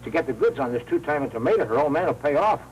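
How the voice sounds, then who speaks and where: Jittery wisecracking private eye, american, highish voice, old 40s film w faint hum. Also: male voice, very animated, rapid-fire, a little mellow, muffled recording. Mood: boastful. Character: cocky, jittery, slangy young private eye. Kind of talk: fast-talking 1940s crime film acting.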